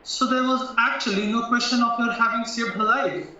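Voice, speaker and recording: Guy doing a testy top lawyer in a radio play, sharp bright voice, super echoey. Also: very high-pitched, steady tone, moderate pace, full character voice. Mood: businesslike, probing. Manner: formal, questioning. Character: irritable senior barrister, a knighted man of brisk authority. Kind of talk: stage-style audio play acting. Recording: very echoey, distant, muffled, music behind.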